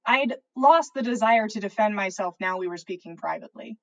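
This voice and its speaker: A woman reading fan fiction aloud as a podcast host, in an expressive storytelling style. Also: mid-range voice, a little fast, muffled recording.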